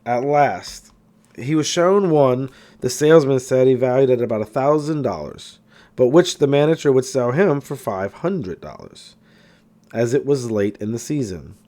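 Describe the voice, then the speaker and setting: A man reads aloud from a self-help book in a medium-pitched voice. His intonation is very animated, rising and falling expressively. The delivery is that of an audiobook reader who is a little unpolished and sometimes stumbles. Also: normal speed.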